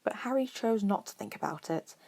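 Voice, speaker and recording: A woman's voice: medium pitch, lively intonation, brisk, darkish tone. A woman reading scripted fiction aloud as a story narrator. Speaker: podfic narrator.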